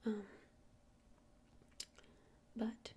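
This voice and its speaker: A woman whispering softly and breathily in an ASMR roleplay as a friendly witch shopkeeper, explaining things in a gentle, hesitant, rambling way. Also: extremely slow, a little hiss or hum. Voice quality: breathy unvoiced whisper with creaky edges. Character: friendly witch shopkeeper selling crystals and incense. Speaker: ASMR roleplayer playing a crystal shop witch.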